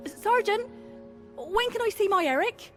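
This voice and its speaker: Very high-pitched, fretful young townswoman. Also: lively intonation, unhurried, over music. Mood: fretful, pleading. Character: fretful young townswoman missing her sweetheart. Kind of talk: video game cutscene acting.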